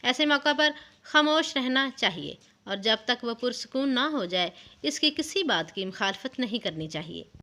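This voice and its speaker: A woman voicing a wise, patient elderly wife who gives calm, assured advice in a narrated story. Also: a little high, normal speed, hardly any pauses. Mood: calm advising. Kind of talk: storybook narration of interview answers. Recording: music under the voice.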